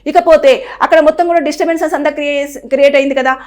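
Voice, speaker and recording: A woman presenter talks quickly in a high voice. She explains earnestly and gives advice. Now and then she acts out what people say. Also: fairly even intonation, a little mellow. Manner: earnest, advising, repeats phrases and acts out quoted speech. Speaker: news presenter. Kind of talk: explainer to camera on a legal topic.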